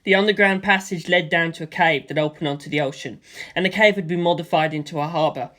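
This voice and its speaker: A woman narrating a story in a steady, expressive audiobook reading. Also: on the low side, quick pace. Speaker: reader.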